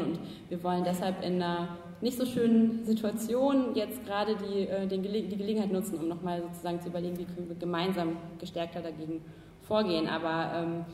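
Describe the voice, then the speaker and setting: A woman's voice: mid-range voice, dark tone, a little hiss or hum. A woman moderating a panel discussion, speaking hesitantly with many fillers. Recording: echoey hall, distant mic.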